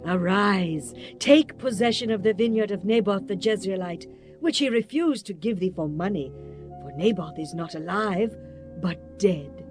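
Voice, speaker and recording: A woman's voice: mid-range voice, a little slow, natural voice. Woman as an imperious scheming queen over music. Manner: declamatory, imperious. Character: commanding, scheming biblical queen. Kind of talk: dramatized Bible audio drama.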